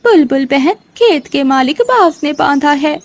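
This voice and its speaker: Woman voicing a high-pitched, plaintive lazy mother crow. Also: lively intonation, a little slow. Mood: pleading. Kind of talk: Hindi children's cartoon voice acting.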